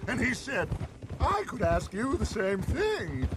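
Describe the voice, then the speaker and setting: Western game gang boss, middle-aged man: genial but commanding, wordy, slow-paced with big swings in pitch. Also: wildly swooping pitch, noisy background, exaggerated voice. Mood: amused, storytelling. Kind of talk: cinematic western game acting.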